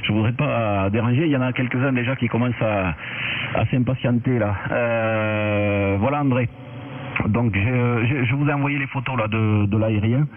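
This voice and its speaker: A man chatting casually as an amateur radio operator, halting a little and repeating words, heard over a radio link. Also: mid-range voice, fairly even intonation. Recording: narrow tinny radio link with hiss.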